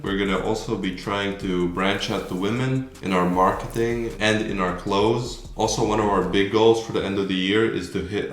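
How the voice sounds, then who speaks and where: Man, age twenty, Latvian accent, low voice, casual slangy Q&A chat, echoey room. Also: a bit bright. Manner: informal, slangy. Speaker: clothing brand founder.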